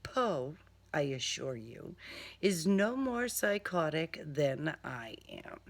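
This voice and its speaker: A woman voicing a suave male serial killer with courteous, formal, unhurried speech, in a crime story reading. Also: deep voice, very animated, vocal fry, heavily acted. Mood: calm, explaining.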